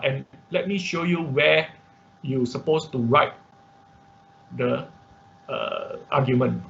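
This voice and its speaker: A man's voice: a little high, creaky, fairly bright tone, a little hiss or hum. Man teaching online, dull muffled audio. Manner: halting, repetitive explaining with frequent tag questions. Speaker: instructor of an online robotics class. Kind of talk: informal live tutorial with screen demonstration. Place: online class call.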